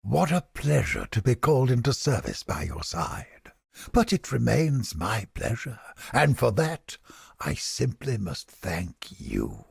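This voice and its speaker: A man playing a haughty, creepy mind-reading interrogator in a video game, with a pompous, florid, theatrical delivery and a raspy, gravelly voice. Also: medium pitch, huge pitch swings, slow pace, no room sound, clean studio sound, heavily acted. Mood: oily flattery. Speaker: game character. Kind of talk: video game character voice lines.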